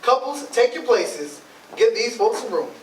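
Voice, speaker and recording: High, swooping man playing a showy contest announcer. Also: big pitch swings, unhurried, exaggerated voice. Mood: official, showy. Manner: grand, showman-like announcing. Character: showy cakewalk master of ceremonies. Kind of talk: stage readers theatre announcing. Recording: echoey, distant mic, clear noise, clicks.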